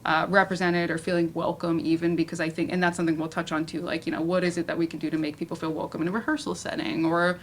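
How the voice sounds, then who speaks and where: A woman with a slightly low voice moderates a casual panel discussion. She rambles in a conversational way, circling through her thoughts. There is faint room noise around her. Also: level tone, fast, hardly any pauses. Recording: noisy room ambience.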